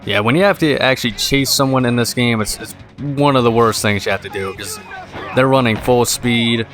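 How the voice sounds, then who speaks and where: A young man giving casual gameplay commentary, tired and rambling in a monotone voice. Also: medium pitch, normal speed. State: exhausted. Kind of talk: casual let's play commentary. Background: game music.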